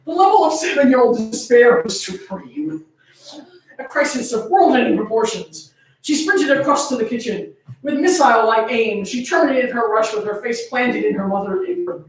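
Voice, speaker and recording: A woman reading a story aloud theatrically and bantering casually between passages. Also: on the high side. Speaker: co-host of a fan fiction reading. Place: echoey room. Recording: very echoey room, distant from the mic.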